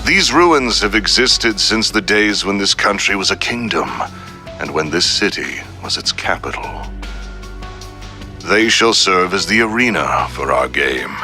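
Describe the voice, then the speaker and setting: Deep, forceful, suave crime boss. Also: male voice, measured pace, soft background music, heavily acted. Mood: grand, sinister. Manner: formal, grandiose, calmly threatening. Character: suave, menacing male crime syndicate boss, coldly polite. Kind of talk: scripted villain monologue in a video game.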